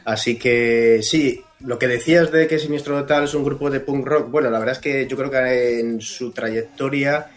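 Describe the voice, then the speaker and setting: A man from Salamanca, Spain, a guest author in a radio interview, his voice a little hoarse from a cold and his manner relaxed. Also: medium pitch, moderate pace, hardly any pauses.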